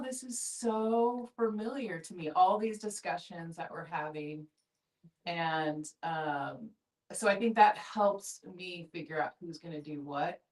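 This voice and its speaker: A middle-aged woman chatting casually and candidly in a group discussion, in an effusive, informal way. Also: on the low side. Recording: distant room microphone.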